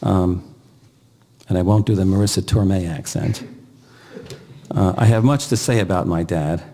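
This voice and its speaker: Older male eulogist, low and dark-toned, halting delivery with pauses. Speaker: eulogist, brother of the deceased. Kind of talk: eulogy, partly read aloud.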